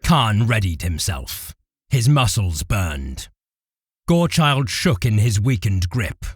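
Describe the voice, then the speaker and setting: A man's voice: on the low side, very animated, takes it slowly, breathy edge, no room sound, studio quality. A man giving intense, theatrical scripted narration for an audio drama.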